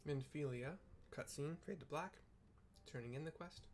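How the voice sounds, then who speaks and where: A woman's voice: sits low, normal speed, darkish tone, a little hiss or hum. A woman giving casual, offhand playthrough commentary and describing game scenes for viewers. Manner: informal, offhand.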